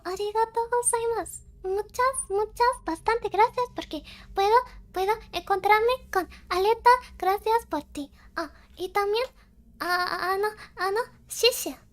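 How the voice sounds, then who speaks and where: A woman's voice: very high-pitched, normal speed. A woman with a cutesy, childlike voice chatting on a livestream, hesitant and flustered, stammering and repeating herself. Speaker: streamer thanking donors. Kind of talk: casual livestream chat.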